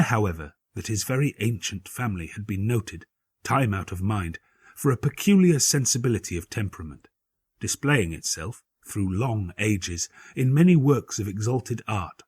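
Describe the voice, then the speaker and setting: Husky, low-voiced man narrating gothic fiction. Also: a little mellow, dry recording. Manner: grave, measured, theatrical delivery with formal literary phrasing. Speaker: audiobook narrator. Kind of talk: dramatic gothic audiobook narration.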